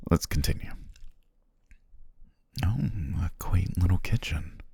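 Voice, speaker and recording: A man playing a courteous, self-amused demon king in an ASMR roleplay, speaking in a genteel, formal way with a husky, breathy voice. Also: slow, no room sound, studio quality, heavily acted. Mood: playful, gracious. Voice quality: very deep, husky, gravelly, often breathy near whisper. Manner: leisurely, genteel, archly formal with soft chuckles.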